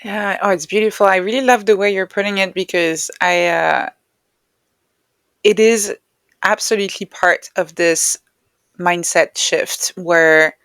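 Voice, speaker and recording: A woman's voice: lowish voice, fairly bright tone, smooth, no room sound. A woman speaking as an interview guest, explaining ideas in a reflective, conversational style. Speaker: interview guest, neuroscientist and author.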